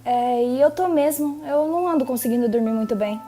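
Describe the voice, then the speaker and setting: A woman's voice: high-pitched, fairly quick, warm tone, soft background music, lightly acted. A woman acting a tired, anxious young patient in an audio drama, answering in hesitant, unsure replies. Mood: weary. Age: teens.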